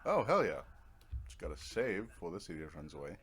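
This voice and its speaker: A man's voice: sits low, very animated, a little fast, a bit bright, breathy edge. A man giving casual, rambling let's play commentary, thinking aloud as he goes. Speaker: gamer narrating own playthrough.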